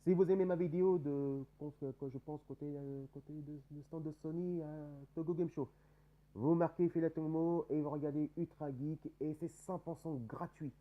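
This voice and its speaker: A man's voice: on the high side, fairly even intonation, normal speed, phone-quality sound. A man with a head cold, hosting a casual gaming video, talking over news with many fillers and restarts. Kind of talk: casual spoken game news commentary.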